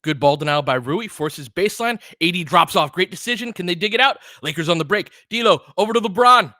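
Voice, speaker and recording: A man's voice: high voice, expressive, a little fast, bright, forceful tone, dry recording, clean studio sound. A man hosting a basketball stream, giving casual play-by-play mixed with chat in a conversational, slangy way with clipped phrases.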